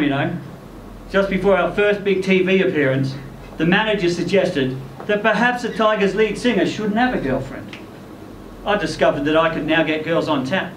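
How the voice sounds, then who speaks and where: A man performing a first-person short story aloud at a live event, as its narrator. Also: high-pitched. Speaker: story reader performing in character as ageing rock singer. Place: indoor live literary event venue. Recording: echoey room, distant mic, faint noise.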